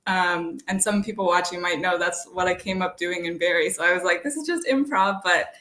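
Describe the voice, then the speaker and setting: A woman's voice: lowish voice, brisk, bright, forceful tone. Chatty woman in a very echoey room. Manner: chatty, informal. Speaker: guest playwright. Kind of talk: casual conversational interview answers.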